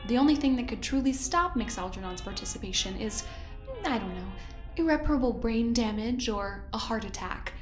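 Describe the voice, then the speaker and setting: A smug woman running corporate research in a sci-fi audio drama, played by an actress. Her high voice is sweetly polite with menace underneath. The sound is roomy, with faint music behind. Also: lively intonation, normal speed, lightly acted. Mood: cool, menacing. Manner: falsely sweet, condescending, theatrically polite.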